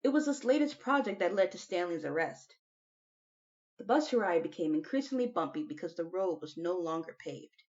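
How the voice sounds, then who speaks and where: A woman's voice: lowish voice, animated, moderate pace, darkish tone, muffled recording. A woman reading a children's novel aloud as an audiobook narrator. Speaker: audiobook reader.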